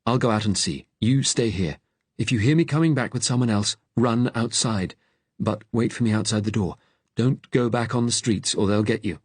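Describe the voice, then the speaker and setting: A man voicing a kindly, weary vicar in an audiobook, gentle but direct and firm. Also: lowish voice, normal speed, husky, no echo. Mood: urgent command. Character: kindly, weary male vicar, calm and firmly commanding.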